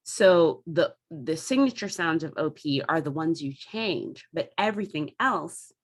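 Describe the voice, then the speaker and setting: A woman's voice: low-pitched, lively intonation, measured pace. A woman talking casually and enthusiastically, like a theatre director thinking aloud about performance. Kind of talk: casual discussion of theatre craft.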